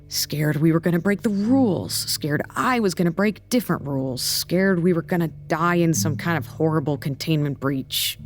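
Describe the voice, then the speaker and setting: A low-pitched woman speaks with weary, blunt candor in an audio drama. She is sardonic and halting, dishing out tough love to a younger colleague. Music plays clearly behind her. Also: darkish tone. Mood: bitter, wistful. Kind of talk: naturalistic audio drama dialogue.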